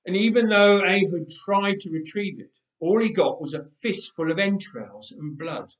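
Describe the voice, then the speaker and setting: A man reading a dramatic story aloud with measured, emphatic delivery and dramatic pauses. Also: sits high, lively intonation. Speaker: storyteller, reader. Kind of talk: dramatic scripted story narration. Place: roomy church interior. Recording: echoey church, distant microphone.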